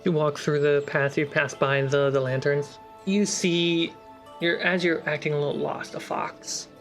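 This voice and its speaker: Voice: medium pitch, steady tone, normal speed. Game master narrating over faint music. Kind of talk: improvised tabletop game narration.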